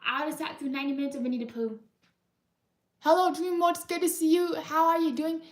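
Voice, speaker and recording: A young woman hosting a live art stream, chatting casually and rambling with many restarts in a high-pitched voice. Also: fairly even intonation, a little fast. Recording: roomy echo, mic far off.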